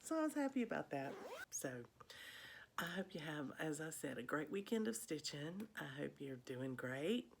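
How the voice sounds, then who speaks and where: A woman's voice: low-pitched, big pitch swings, normal speed, vocal fry. A woman chatting casually to viewers as a vlog host, in a rambling way, with a slightly congested, froggy voice. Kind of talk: casual chat to viewers about cross stitch.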